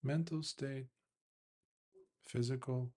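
A man with a medium-pitched voice teaches meditation. He speaks in short, measured phrases and leaves long pauses between them, giving instructions as a Buddhist monk would to students. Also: fairly even intonation, dark tone.

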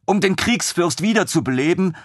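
A man voicing an eager, excitable male lieutenant in an audiobook, talking in breathless, gushing questions. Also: high voice, moderate pace, no echo, studio quality, pitched above the performer's own voice. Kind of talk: audiobook reading.

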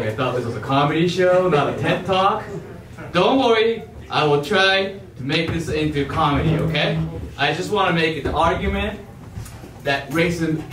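A young man from Japan performing amateur stand-up comedy on a theater stage with a handheld mic, in an emphatic, halting way that repeats phrases. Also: fairly high pitch, fairly bright tone, distant mic, slight background noise. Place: theater stage before a live audience. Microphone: handheld wired microphone. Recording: echoey stage mic, heard from afar.

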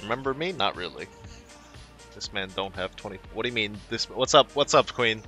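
A man's voice: fairly low pitch, fairly quick, bright, forceful tone. A young man in his twenties, a non-native English speaker, chatting casually with his stream chat while gaming, laughing often and swearing freely. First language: not English. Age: 20s. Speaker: game streamer. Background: background music.

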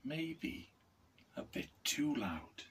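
A man's voice: on the high side, sing-song, very slow, a bit bright, a little hiss or hum. A middle-aged man reading a children's picture book aloud expressively, as a teacher would. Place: small wooden room, indoors.

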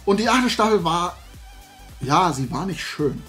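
A man's voice: high voice, a little slow, faint music behind. A man, chatting to camera in a casual, opinionated, rambling and emphatic way as a video host. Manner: animated rambling, colloquial, emphatic. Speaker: YouTube host of a toy collecting channel. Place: small indoor room lined with shelves of toys.

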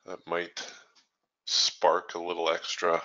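A man hosting a trading training room, thinking aloud in a relaxed way as he walks through live trades. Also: sits low, slow pace, dull audio. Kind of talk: live trading commentary over screen share.

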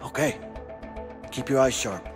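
A man's voice: medium pitch, measured pace, close to an everyday voice. An actor playing a hot-headed, blunt male convict in a naturalistic video game drama. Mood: guarded, insistent. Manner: blunt, curt. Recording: music behind.

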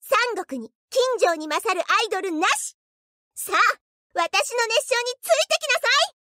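Very high-pitched, proud anime idol girl. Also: sing-song, normal speed, bright, forceful tone, no room sound, clean studio sound, heavily acted. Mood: perky, confident. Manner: perky, boastful, theatrical, girlish. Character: cheerful, proud, self-confident young female idol. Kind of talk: anime game character voice lines.

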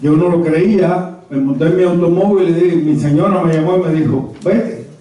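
An elderly man from Havana with a Cuban accent, speaking at a conference and telling personal memories in a deliberate, hesitant way. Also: fairly high pitch, warm tone, recorded from a distance. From Havana, Cuba. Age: 70s or older. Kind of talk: personal reminiscence told to a small audience. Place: indoor conference room. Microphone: handheld mic, recorded from a distant camera. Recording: very echoey, muffled room from afar.

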